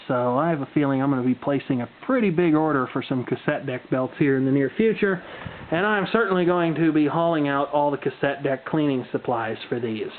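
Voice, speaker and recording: A man presenting a casual, unscripted show-and-tell with dry, wry humor and folksy turns of phrase. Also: fairly high pitch. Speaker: hobbyist video presenter. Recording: muffled phone-like audio with background noise.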